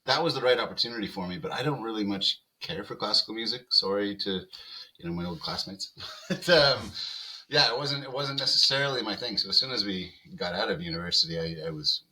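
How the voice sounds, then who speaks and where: A man who plays in a band, chatting as a guest on an interview show in a relaxed, laid-back way. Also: lowish voice, a little fast, rough recording. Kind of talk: casual interview chat.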